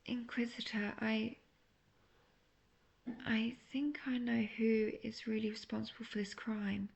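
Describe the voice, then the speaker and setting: Female actor as scheming captive witch for fantasy audio drama: seductive, intimate, husky and breathy, with heavy vocal fry. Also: fairly high pitch, flat delivery, unhurried, highs cut off, exaggerated voice. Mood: timid, secretive. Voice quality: breathy, often near-whispered. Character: manipulative, seductive imprisoned witch feigning innocent helplessness.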